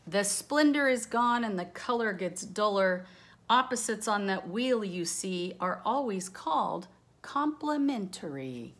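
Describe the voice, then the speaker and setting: A woman's voice: medium pitch, animated, a little slow. A woman teacher reading a rhyming children's book aloud, with casual explaining asides spoken directly to young listeners.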